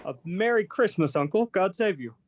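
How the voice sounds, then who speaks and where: A man plays a cheerful young nephew with a slightly high, earnest voice. He pleads his case with good nature in a radio drama. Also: animated, moderate pace. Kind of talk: radio play acting.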